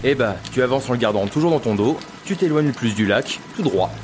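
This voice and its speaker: A man's voice: mid-range voice, animated, a little fast, music in the background, lightly acted. An actor playing a familiar, teasing working-class local man in an audio drama, speaking in slang. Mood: helpful. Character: chatty working-class local townsman, mocking but helpful. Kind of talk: French audio drama dialogue.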